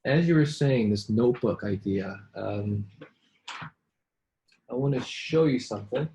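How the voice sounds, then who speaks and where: Casting: male business coach in casual chat, medium pitch, repeats words and tags lines with "right?". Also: animated, measured pace, dark tone, a little hiss or hum. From born in New York, raised in Vancouver. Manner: chatty, with frequent restarts and rhetorical "right?". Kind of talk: casual conversation between colleagues.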